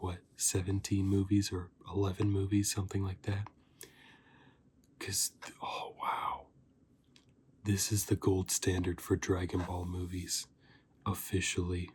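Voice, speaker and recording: A man giving a softly spoken ASMR review, hushed and sometimes slipping into whispers. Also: low-pitched, slight background noise. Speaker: host of an ASMR review channel.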